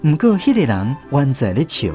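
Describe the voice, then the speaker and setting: A man's voice: mid-range voice, wildly swooping pitch, moderate pace, dark tone, soft background music. A man narrating a radio drama in the first person, reminiscing like a storyteller with a Taiwanese accent.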